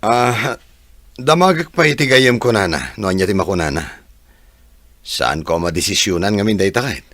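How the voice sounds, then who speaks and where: An actor playing an evasive engineer boss with an Ilocano accent in a radio comedy-drama. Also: male voice, on the low side, animated, moderate pace, pauses often, a bit bright, no room sound, studio quality. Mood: noncommittal.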